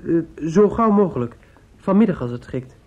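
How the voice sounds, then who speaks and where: A man's voice: a little high, expressive, moderate pace, natural voice. An actor in a Dutch radio play as a troubled young man, speaking in a pensive, hesitant and formal way. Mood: hesitant, purposeful. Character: troubled, brooding young man, drinking early, polite but insistent. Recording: muffled old radio recording with faint noise.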